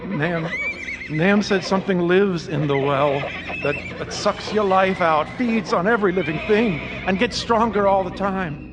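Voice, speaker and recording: Actor as high-voiced, frightened stammering farmer. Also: male voice, moderate pace, noisy background, lightly acted. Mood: fearful warning. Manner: stammering, repeating words. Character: terrified, stammering farmer, male neighbour witness. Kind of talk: radio drama acting. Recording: prominent music behind the voice.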